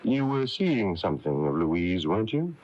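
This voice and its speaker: An actor playing a firm, knowing male confidant in a radio mystery drama, questioning deliberately and pressing for answers. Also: sits low, very animated. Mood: probing, insistent. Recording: muffled 1980s AM radio tape, faint music.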